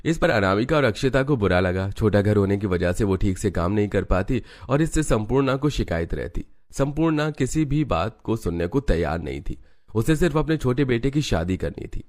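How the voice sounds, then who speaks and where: A man's voice: lowish voice, expressive, moderate pace, a little mellow. A man narrating a scripted cartoon family story as its storyteller.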